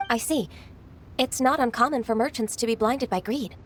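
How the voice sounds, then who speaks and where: An actress playing a gentle, composed and shrewd young secretary in a video game, speaking politely and thoughtfully. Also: female voice, on the high side, normal speed, a little hiss or hum, natural voice. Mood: calm, helpful.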